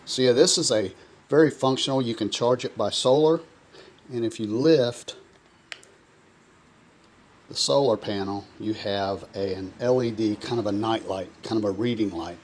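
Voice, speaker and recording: A man's voice: fairly low pitch, normal speed, long pauses, a little hiss or hum. An American man giving a casual demonstration as a product reviewer. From coastal North Carolina, US. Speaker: product reviewer presenting to camera.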